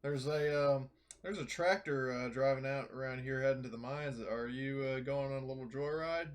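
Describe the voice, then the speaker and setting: Quiet, laid-back spaceship captain, voiced by a man. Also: medium pitch, moderate pace, clear, resonant tone, natural voice. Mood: calm, casual. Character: easygoing male spaceship captain on suit radio.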